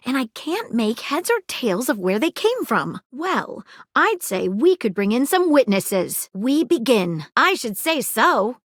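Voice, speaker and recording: High, animated lady detective. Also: no room sound, clean studio sound. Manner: formal, deliberate, theatrical phrasing. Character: earnest, flirtatious female detective devoted to cracking cases. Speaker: voice actor in a video game. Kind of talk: scripted game character dialogue.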